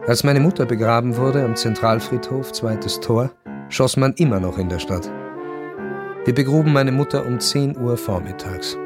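A world-weary, melancholy baron, played by a man narrating a radio drama. His middle-register voice is measured and sombre, with music clearly behind it. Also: mid-range voice, close to an everyday voice. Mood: melancholy, detached. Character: melancholy, detached baron recounting his decline. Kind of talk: literary first-person narration in radio drama.

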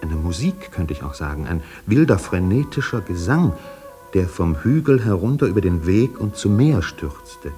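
An actor playing a grave, reflective narrator of an island tale, measured and lyrical, in a literary radio play. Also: male voice, deep voice, big pitch swings, mellow, faint music behind.

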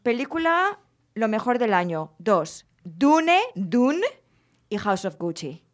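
A woman's voice: mid-range voice, very animated, takes it slowly. A woman hosting a casual podcast chat, talking with enthusiasm and emphasis. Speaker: podcast host.

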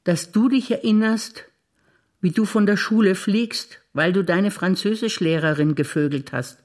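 An older woman performing scripted stage dialogue, wry and teasing in quick exchanges. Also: medium pitch, darkish tone. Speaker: stage actor.

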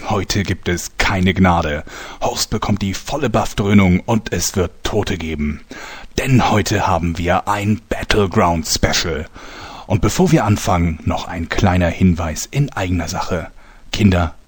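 A man's voice: deep voice, a little slow, breathy edge, no echo. A man playing a bombastic, theatrical announcer and narrator for a fantasy parody audio drama. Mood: mock solemn. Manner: pompous, theatrical.

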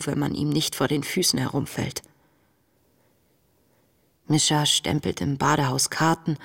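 Low-voiced, reflective camp letter writer. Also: female voice. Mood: sombre, reflective. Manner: reflective, measured, sometimes hushed. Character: compassionate young woman writing letters from a camp. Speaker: lead actress, letter reader. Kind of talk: intimate literary letter narration in a radio play.

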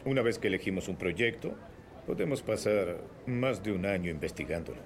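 Affable local newspaper editor, lowish voice with lively pitch, busy newsroom crowd behind. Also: male voice, fairly quick. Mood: friendly. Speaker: investigative team editor. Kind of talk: Latin American Spanish film dub.

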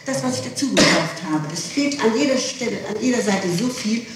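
A woman's voice: a little high, animated, fairly quick. A woman answering questions in an interrogation, defensive and insistent, often repeating herself. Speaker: interrogated witness. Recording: distant, very echoey, noisy archival tape.

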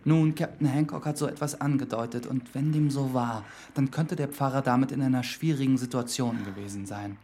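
A man's voice: mid-range voice, fairly quick, few pauses, mellow, a little hiss or hum, close to an everyday voice. A young man playing a polite, earnest young priest in a radio drama, speaking formally and carefully. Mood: earnest, probing. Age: young adult. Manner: formal, considerate, careful questioning. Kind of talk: radio drama acting with first-person narration.

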